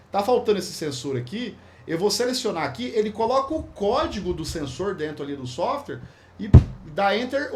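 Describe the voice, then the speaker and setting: A man with a Brazilian Portuguese accent, teaching a course in an informal, slangy way, rambling and restarting his sentences. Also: high voice. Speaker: course instructor. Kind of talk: casual spoken lesson.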